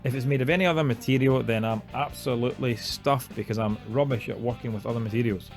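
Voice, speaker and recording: A man's voice: medium pitch, quick pace, soft background music. A man in his thirties from Glasgow with a Scottish accent, talking casually to camera as a farm vlog host, self-deprecating and full of ums and uhs. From Glasgow, Scotland. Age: 30s.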